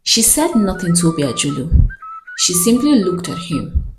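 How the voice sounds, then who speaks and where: A woman narrating a folktale in a dramatic scripted storytelling style, with measured delivery and pauses. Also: medium pitch, wildly swooping pitch, echoey room, noisy background.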